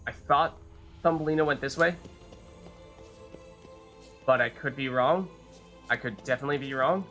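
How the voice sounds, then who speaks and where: A man's voice: mid-range voice, moderate pace, a bit bright, faint music behind. A man giving casual live commentary on a video game, chatting and thinking aloud as he plays. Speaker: game streamer, host.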